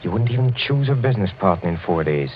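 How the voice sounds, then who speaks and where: An actor playing a suave, sinister older European count in 1940s radio style, courtly and insinuating, halting and chuckling softly. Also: male voice, sits low, animated, slight background noise. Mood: guarded, measured. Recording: muffled old 1940s radio recording, faint music.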